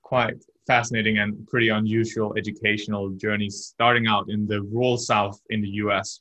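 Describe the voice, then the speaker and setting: A man's voice: lowish voice, bright, forceful tone, rough recording. A man from Denmark, a company founder, giving earnest, explanatory answers as a podcast guest. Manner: earnest, explanatory, some restarts.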